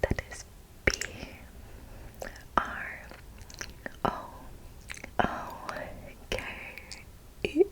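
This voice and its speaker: Slow whispering woman ASMR host. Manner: casual, excited, repeats words slowly. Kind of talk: ASMR, close whispering to viewers. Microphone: close microphone.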